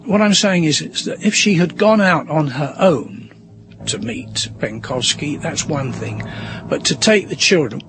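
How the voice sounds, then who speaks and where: A man recalling events as an interviewee, emphatic and halting, repeating himself as he goes. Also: on the high side, expressive, noisy background. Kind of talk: spontaneous interview recollection.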